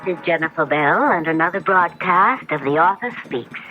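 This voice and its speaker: A woman's voice: fairly low pitch, normal speed, music in the background, close to an everyday voice. Woman acting a skeptical lady interviewer, muffled old radio. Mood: poised, probing. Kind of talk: 1970s radio drama acting. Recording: dull, hissy 1970s radio recording.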